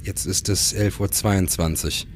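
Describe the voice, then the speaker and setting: A man's voice: low voice, steady tone, a little slow, a little hiss or hum. A man in a crime radio play, playing a driven, probing police detective who also narrates his case. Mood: focused, suspicious. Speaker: radio drama actor. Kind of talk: German crime radio play acting, with first-person narration.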